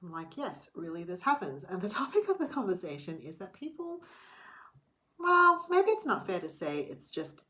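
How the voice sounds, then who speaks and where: A woman's voice: on the low side, very animated, normal speed, sharp tone. A middle-aged woman talking candidly to camera in a casual late-night vlog, chatty and a little theatrical. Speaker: vlogger.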